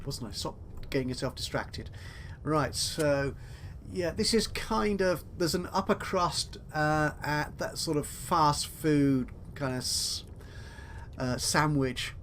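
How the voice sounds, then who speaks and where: A man's voice: fairly high pitch, unhurried, a bit bright, a little hiss or hum. A man giving casual let's play commentary, chatty and thinking aloud as he goes. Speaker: gaming video host.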